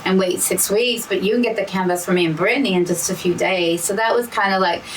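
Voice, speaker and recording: Hoarse woman chatting a bit off-mic. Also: mid-range voice, quick pace, distant mic, a little hiss or hum, rough recording. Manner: rambling, with frequent restarts and "you know". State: hoarse, losing her voice from talking too much. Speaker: co-host of a diamond painting channel. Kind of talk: casual chat.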